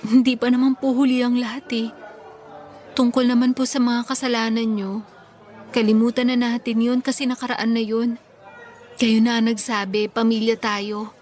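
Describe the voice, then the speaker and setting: A woman's voice: fairly high pitch, little pitch movement, normal speed, long pauses, darkish tone, natural voice. A woman with a Filipino accent playing a tender, responsible elder sister in a radio drama. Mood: tender, resolute.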